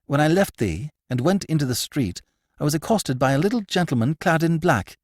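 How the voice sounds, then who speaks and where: A man's voice: fairly high pitch, big pitch swings, a little fast, dry recording, pitched above the performer's own voice. A man reading a story, voicing a shaken honest young man in earnest, old-fashioned formal speech. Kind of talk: audiobook character voice.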